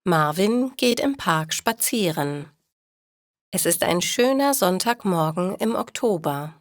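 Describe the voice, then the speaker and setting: A woman narrating scripted stories for language learners, reading in a deliberate, clearly articulated manner. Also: on the low side, lively intonation, no echo, clean studio sound.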